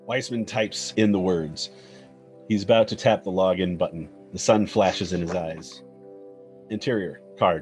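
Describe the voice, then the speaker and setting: A man narrating a screenplay's stage directions in a scripted table-read style. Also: deep voice.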